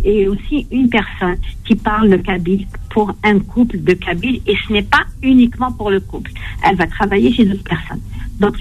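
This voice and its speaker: Woman, phone caller, echoey muffled line, earnest, apologetic, hesitant. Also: medium pitch. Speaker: caller, home care agency manager. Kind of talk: phone-in call to a radio show.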